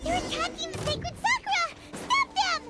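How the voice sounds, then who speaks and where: A woman voicing a tiny, excitable fairy companion girl in an anime game, with a childlike, squeaky voice and eager, exclamatory delivery. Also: normal speed, very noisy. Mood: alarmed.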